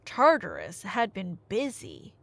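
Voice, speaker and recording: A woman's voice: mid-range voice, takes it slowly, gravelly, dry recording. A woman telling myths in a casual, rambling roleplay style, nerdy and slangy, often cutting in on herself with asides. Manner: nerdy, slangy, animated, self-interrupting asides. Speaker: audio roleplay performer and storyteller. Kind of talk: casual, rambling comic myth retelling in audio roleplay.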